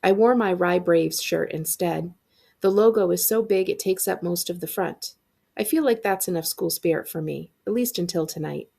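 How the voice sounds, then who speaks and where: A woman librarian reading a middle-grade novel aloud in an expressive, acted-out storytelling style. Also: fairly low pitch, normal speed, vocal fry, husky.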